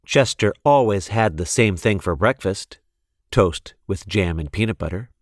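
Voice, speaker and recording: A man reading a children's storybook expressively, with deliberate, measured phrasing. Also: mid-range voice, no echo, studio quality. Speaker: storybook reader.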